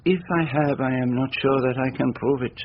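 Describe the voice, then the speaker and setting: An actor playing a courteous, enigmatic foreign detective who speaks in formal, deliberate phrases, in a radio drama. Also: male voice, medium pitch, lightly acted. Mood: guarded. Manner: formal, deliberate phrasing with French forms of address. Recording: muffled, noisy radio drama recording.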